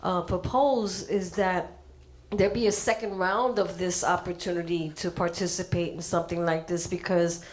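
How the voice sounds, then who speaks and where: A woman's voice: on the low side, moderate pace. A woman telling a personal story to a gallery audience, acting out what people said. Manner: storytelling, animated when acting out quotes. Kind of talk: speaking at an artist reception.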